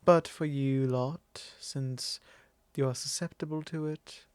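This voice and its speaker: A man's voice: mid-range voice, steady tone, smooth, dry recording, natural voice. A man playing a possessive, courtly vampire lord in an ASMR roleplay, speaking formally and condescendingly in an intimate monologue. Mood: smug, possessive. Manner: formal, unhurried, condescending, with soft chuckles.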